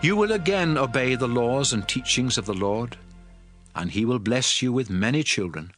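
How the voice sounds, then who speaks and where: A man's voice: medium pitch, expressive, moderate pace, fairly bright tone, lightly acted. An actor playing a solemn Hebrew prophet addressing his nation in earnest oratory for a dramatized Bible. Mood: earnest, exhorting. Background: music.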